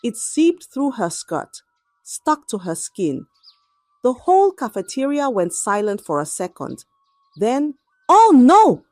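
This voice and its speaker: A woman storyteller giving a dramatic scripted narration of a folktale in short, measured phrases. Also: mid-range voice, very animated, darkish tone, no room sound, faint music behind.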